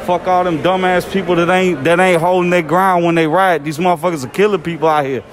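A man's voice: high-pitched, fairly even intonation, fast. Brash man, boastful. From Chicago. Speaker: music producer, interviewee. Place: mall food court. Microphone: interviewer's handheld mic.

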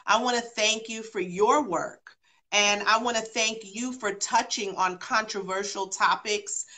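Bright-voiced woman giving earnest thanks. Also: medium pitch, fairly even intonation, measured pace. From born in London, raised partly in Jamaica and in New York. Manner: earnest, blessing-giving, repeats phrases for emphasis. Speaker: guest. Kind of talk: casual talk-show chat.

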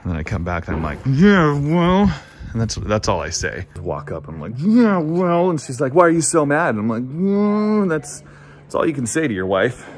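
A man's voice: medium pitch, huge pitch swings, normal speed. Dry-joking man vlogging outdoors. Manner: dry, joking, self-mocking. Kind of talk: casual vlog narration. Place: outdoors on a farm. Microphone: phone camera held at arm's length.

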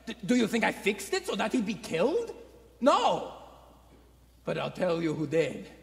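A man playing a guilty husband in a live radio play, pleading and making halting, nervous excuses. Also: high-pitched, wildly swooping pitch, creaky, full character voice. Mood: defensive pleading. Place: indoor theatre stage before live audience. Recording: echoey theatre hall, faint noise.

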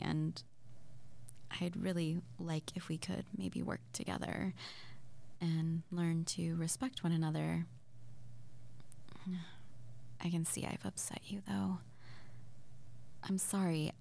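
Small flirty witch played by a woman, intimate roleplay audio. Lowish, creaky, breathy, stammering and awkward. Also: dark tone, full character voice. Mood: soft, apologetic, flirty. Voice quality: breathy, often dropping to near-whisper. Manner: hesitant, stammering, giggly.

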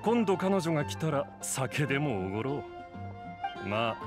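Man as a dry bartender, animated intonation. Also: medium pitch, normal speed, over music, lightly acted. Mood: sheepish. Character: gruff, dry middle-aged male tavern bartender. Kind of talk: Japanese game voice acting.

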